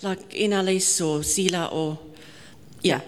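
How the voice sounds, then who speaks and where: A woman's voice: fairly low pitch, fairly even intonation, a little slow. Woman chairing a conference Q&A. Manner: friendly, explanatory, frequent restarts. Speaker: session chair and presenter. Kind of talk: conference Q&A moderation. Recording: long reverb of a conference hall.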